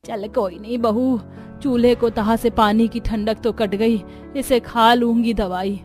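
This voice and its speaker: A woman's voice: fairly high pitch, fairly even intonation, measured pace, darkish tone, soft background music, natural voice. A woman voicing a blunt, grumbling old mother-in-law in a cartoon about a poor family. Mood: weary complaint. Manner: scolding, blunt. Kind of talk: Hindi cartoon story acting.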